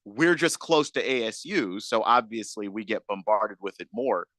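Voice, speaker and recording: A man's voice: lowish voice, animated, normal speed, fairly bright tone, no room sound, studio quality. A man co-hosting live sports radio, casually running through player news. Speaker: radio co-host.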